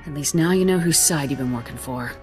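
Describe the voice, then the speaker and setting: Game hero lieutenant, played by a woman: cool, steady military delivery, clear music underneath. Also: deep voice, fast talker, natural voice. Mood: steady, resolute. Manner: calm, matter-of-fact. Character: cool, confident soldier lieutenant of the Republic. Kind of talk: video game voice acting. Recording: music behind the voice.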